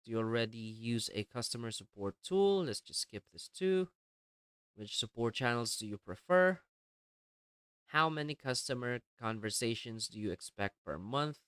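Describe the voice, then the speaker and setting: A man narrating a step-by-step software tutorial in a plainly explanatory, instructional way. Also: medium pitch, expressive, unhurried, clear, resonant tone, no room sound. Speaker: tutorial presenter.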